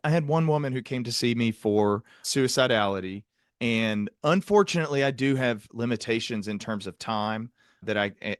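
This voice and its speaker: A man hosting a podcast, talking through clinical topics in a halting, thoughtful, conversational way with technical vocabulary. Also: medium pitch, no room sound, studio quality. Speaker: podcast host, psychiatrist.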